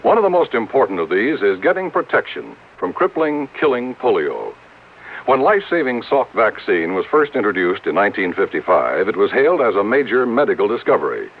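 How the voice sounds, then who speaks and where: A man's voice: on the low side, sing-song, brisk, a little hiss or hum. An American man working as a 1950s radio announcer, reading scripted copy in a formal, emphatic, rhetorical way. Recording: narrow, tinny vintage radio recording.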